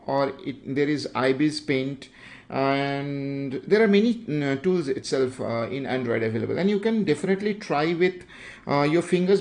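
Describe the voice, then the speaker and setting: A young man with an Indian English accent teaching a drawing livestream, unhurried and halting, with many fillers. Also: on the high side, a little mellow. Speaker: art tutor.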